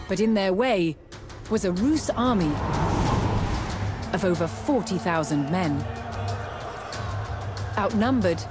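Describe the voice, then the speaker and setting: A woman delivering scripted documentary narration in a measured, dramatic storytelling manner. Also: medium pitch, animated. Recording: music under the voice. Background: background music.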